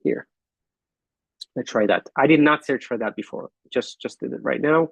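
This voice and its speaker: A man hosting an online business forum, talking casually and haltingly while he walks through his shared screen on a video call. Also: mid-range voice, vocal fry, slightly rough, dry recording. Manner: halting, thinking aloud with fillers and restarts.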